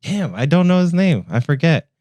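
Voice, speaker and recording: A man's voice: a little high, big pitch swings, normal speed, a little mellow, no room sound. Casual man chatting, close and dry. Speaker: podcast co-host. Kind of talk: casual chat.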